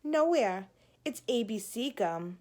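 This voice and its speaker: A woman's voice: fairly high pitch, expressive, takes it slowly, a little mellow. A woman voicing a moody, bossy young big sister in a children's storybook, with an emphatic, snappy delivery. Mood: annoyed. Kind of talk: children's storybook read-aloud.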